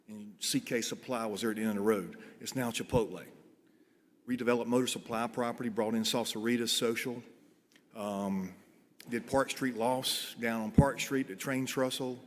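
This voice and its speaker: A middle-aged man giving informal testimony at a public hearing as a property developer. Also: medium pitch. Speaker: real estate developer giving public comment. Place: large meeting room. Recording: echoey hall, some background noise.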